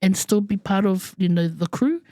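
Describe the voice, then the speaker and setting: A woman's voice: medium pitch, moderate pace, mellow, no echo. Laughing chatty New woman podcaster. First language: Samoan. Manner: chatty, laughing, colloquial asides. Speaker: podcast co-host. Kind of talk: casual podcast chat.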